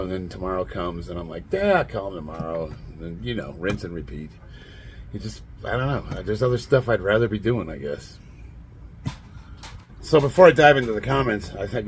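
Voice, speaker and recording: Stuffed up raspy guy almost fifty, kinda low voice, just rambling and laughing. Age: 40s. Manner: blunt, rambling, laughs often. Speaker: fitness vlogger. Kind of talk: casual monologue to camera.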